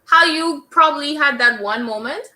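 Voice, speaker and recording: A young woman with a Bahamian accent telling stories in a lively, exasperated casual chat. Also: sits high, moderate pace. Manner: animated storyteller, exasperated asides. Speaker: podcast co-host. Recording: roomy echo.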